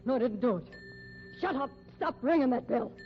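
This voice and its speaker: High-voiced desperate murderess. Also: female voice, lively intonation, faint music behind. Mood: hysterical, furious. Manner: melodramatic, halting, overwrought confession. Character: desperate fugitive murderess posing as circus bearded lady. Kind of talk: 1950s radio mystery acting. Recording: muffled, noisy old radio recording.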